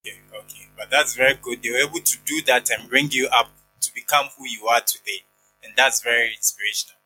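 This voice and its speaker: A man's voice: medium pitch, fairly even intonation, quick pace, pushed and bright, soft background music, rough recording. A man hosting a podcast, introducing his guest and asking interview questions in a polite, encouraging manner.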